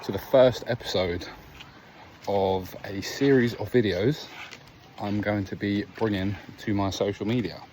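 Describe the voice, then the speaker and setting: A man's voice: on the low side, steady tone, measured pace, darkish tone, a little hiss or hum, rough recording. A man sharing earnest, reflective stories on an evening walk outdoors, talking casually with restarts. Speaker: vlogger. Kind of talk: casual motivational talk while walking. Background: faint outdoor ambience, a dog.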